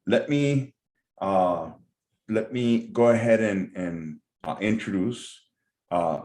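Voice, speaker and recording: Webinar host, male, very low voice, lots of "uh"s, roomy video-call sound. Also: a little mellow. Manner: halting, filler-heavy introductions.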